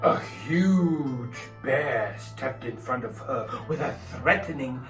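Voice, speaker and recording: A man presenting and narrating a story in a deliberate, instructive way. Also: medium pitch, animated, far from the mic. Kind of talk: storytelling and audience address. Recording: echoey room, off mic, music behind.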